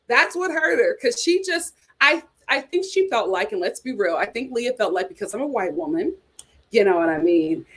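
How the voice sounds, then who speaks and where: A woman hosting a casual chat, giving sassy, animated commentary with slang and answering live chat comments. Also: a little high, moderate pace, slightly rough. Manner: uses casual slang like "child" and "y'all". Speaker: host.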